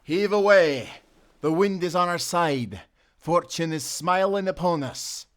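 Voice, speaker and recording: An actor playing a loyal, good-natured sailor in a nautical audio adventure, speaking earnestly with friendly seafaring phrasing. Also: male voice, sits high, measured pace, a bit bright. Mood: rousing. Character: young-hearted, loyal, good-natured sailor and former timberman. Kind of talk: cinematic nautical audio roleplay acting.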